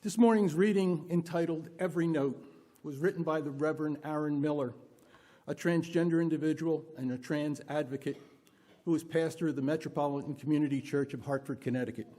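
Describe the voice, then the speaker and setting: A person leading a worship service from a pulpit, reading aloud with deliberate, emphatic expression. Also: medium pitch, dark tone. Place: church pulpit.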